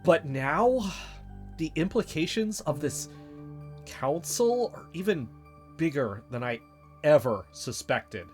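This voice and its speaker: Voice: mid-range voice, sing-song, takes it slowly, darkish tone. A voice in scripted audio drama dialogue, wry and conspiratorial, lifting up when spinning a theory. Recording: music bed underneath.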